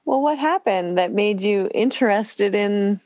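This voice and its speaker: A woman's voice: medium pitch, lively intonation, unhurried, a little mellow. A woman co-hosting a casual interview, chatting and laughing easily.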